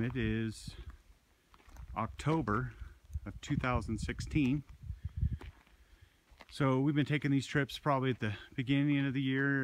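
Man vlogging casually over clear background noise. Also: fairly low pitch. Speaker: vlog host. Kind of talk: casual walk-around vlog narration. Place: abandoned mine tunnel, then open desert hillside outdoors. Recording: handheld outdoor recording with clear background noise.

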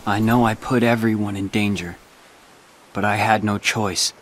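A man's voice: lowish voice, level tone, normal speed, fairly bright tone, a little hiss or hum, close to an everyday voice. A young man playing a brooding, self-sacrificing teenage boy warrior in a game cutscene, grave and restrained. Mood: somber, earnest. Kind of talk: video game cutscene acting.

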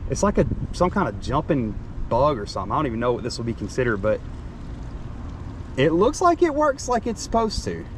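A man, chatting casually to an action camera while fishing by a lake, excitable and quick to shout. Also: mid-range voice, background noise. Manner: excitable, talks while tying lures, quick bursts of shouting. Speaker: fishing vlogger. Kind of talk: casual outdoor chat to camera. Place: outdoors by a lake.

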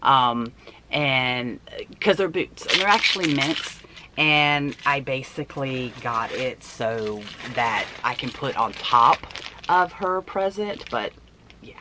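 A woman in her forties with a hoarse voice, chatting casually and emphatically to camera like a shopping vlogger. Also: very deep voice, animated, slow, noisy background. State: hoarse voice from allergies. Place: small indoor room at home.

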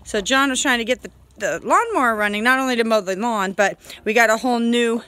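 A woman in her forties giving a casual, halting homestead video diary outdoors, talking into a phone at arm's length. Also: a little high, pushed and bright, no room sound. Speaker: homesteader vlogger. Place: outdoors on a farm. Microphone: phone held at arm's length.

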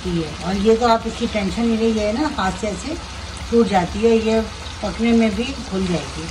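A woman's voice: medium pitch, normal speed, noisy background. A woman with a Pakistani Urdu accent hosting a home cooking video, chatting casually as she explains each step in her kitchen. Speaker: cooking channel host. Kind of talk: casual cooking demonstration voice-over. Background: background music.

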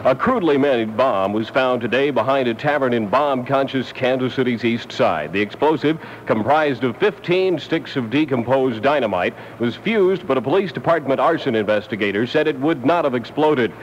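A man's voice: medium pitch, moderate pace, hardly any pauses. American male radio news anchor, 1970 style, formal delivery; dull, faintly noisy air check sound. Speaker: radio newscaster.

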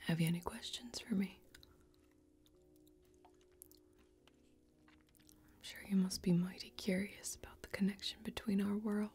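A young woman playing a mysterious, self-assured warrior in a soft-spoken ASMR fantasy role-play, breathy and unhurried, with old-fashioned phrasing. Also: mid-range voice, steady tone, natural voice. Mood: calm, inviting. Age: young adult. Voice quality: breathy, whispered.

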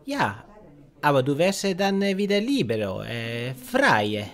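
A man playing a scheming, sentimental Italian inmate in an audio drama parody, with an Italian accent, halting broken grammar and sprinkled Italian words. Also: on the high side, sing-song. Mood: coaxing.

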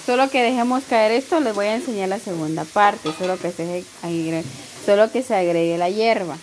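A Guatemalan woman talks viewers through breakfast in a home kitchen, friendly and explanatory, often checking in with a questioning tag. Her voice sits at a middle pitch. Frying and sizzling are clearly heard around her. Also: fairly quick. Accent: Guatemalan Spanish. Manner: friendly explaining, many rhetorical "¿verdad?" tags. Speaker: cooking show host. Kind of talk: casual cooking demonstration. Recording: loud sizzling and kitchen noise.

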